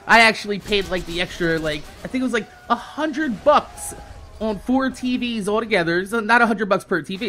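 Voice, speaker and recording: A man in his thirties telling a casual, exasperated story with heavy swearing, in the animated manner of a gaming YouTuber. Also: high voice, a little hiss or hum. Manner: animated, heavily profane, exasperated.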